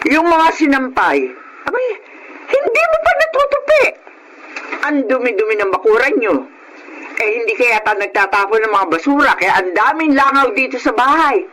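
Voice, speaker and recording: An actress playing a sharp-tongued older mother-in-law who scolds with exaggerated delivery in a radio drama. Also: sits high, very animated, moderate pace, very noisy. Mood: scolding, disgusted. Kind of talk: Filipino radio drama acting.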